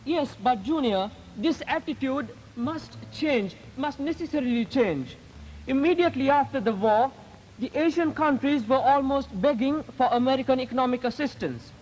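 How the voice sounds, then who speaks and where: A man's voice: very high-pitched, moderate pace, darkish tone, a little hiss or hum, rough recording. A teenage male youth delegate, giving an earnest, declamatory formal speech in a 1950s archival recording. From Sri Lanka. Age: teens. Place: public speaking event.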